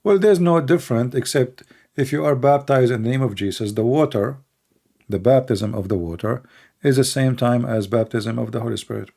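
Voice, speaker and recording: Gravelly Arabic-accented man, halting speech. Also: medium pitch, warm tone. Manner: halting, many fillers and restarts, blunt and opinionated. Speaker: livestream debate host. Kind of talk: casual unscripted talk answering questions.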